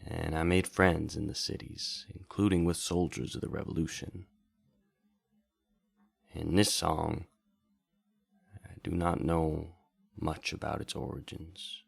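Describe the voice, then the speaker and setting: A man playing a reflective wandering bayou bard who hosts a late-night radio drama, telling stories in a measured, wistful way with pauses. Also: no room sound, heavily acted. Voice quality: deep, gravelly, husky. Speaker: radio drama host and storyteller. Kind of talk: slow intimate late-night radio storytelling.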